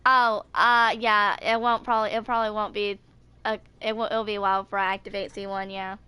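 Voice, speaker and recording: A woman's voice: mid-range voice, level tone, a little fast, bright, forceful tone, clear, resonant tone, no echo. A woman in her twenties chatting casually and reading video game lore aloud as a gaming creator. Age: 20s.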